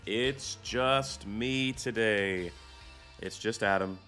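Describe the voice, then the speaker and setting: A man hosting a podcast in a conversational interviewing style, haltingly repeating phrases as he goes. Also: fairly low pitch, bright, forceful tone, faint music behind. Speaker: podcast host.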